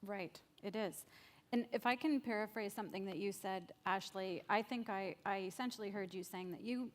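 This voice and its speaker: A woman's voice: medium pitch, moderate pace. Thoughtful woman moderating a panel. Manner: thoughtful, paraphrasing questions. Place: conference stage.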